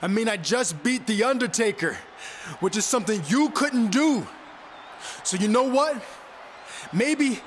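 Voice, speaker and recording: A young male pro wrestler in a video game cutscene. His voice sits high as he delivers an earnest, defiant promo to the arena, with some ambient noise around him. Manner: addresses a crowd in announcement style.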